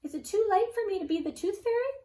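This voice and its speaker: A woman voicing a kindly, excitable male pig in a storybook, earnest and a bit fretful. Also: very high voice, fast talker, recorded from a distance, pitched above the performer's own voice. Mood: worried. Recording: roomy echo, away from mic.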